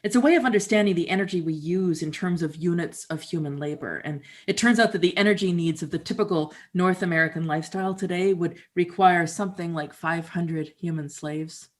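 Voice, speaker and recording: A woman with a somewhat low voice gives a dramatic reading. She tells her story in an unhurried, reflective way, and the pacing is measured for performance. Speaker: storyteller and musician. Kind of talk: dramatic reading of documentary theater.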